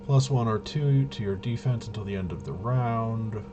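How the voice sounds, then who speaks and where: A man thinking aloud in casual tabletop gameplay commentary, halting and muttering to himself. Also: on the low side, music in the background. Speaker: tabletop RPG player and presenter.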